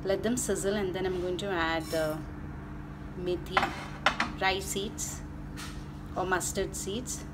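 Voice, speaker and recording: A woman's voice: fairly low pitch, fairly even intonation, slow, pauses often, heavy background noise. A woman with an Indian accent giving casual step-by-step recipe narration as a cooking channel host.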